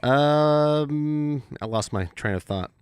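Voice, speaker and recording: A man's voice: a little high, takes it slowly, clear, resonant tone, no echo. A man co-hosting a podcast in casual banter, teasing his guest with mock-serious remarks. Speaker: podcast co-host. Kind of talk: casual joking interview banter.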